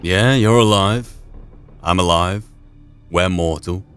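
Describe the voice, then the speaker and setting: A man's voice: deep voice, takes it slowly, fairly bright tone, clean tone, faint music behind. A man giving in-character commentary on a video game, musing aloud and rephrasing his own thoughts. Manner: musing, repeats and rephrases himself. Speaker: gaming video host.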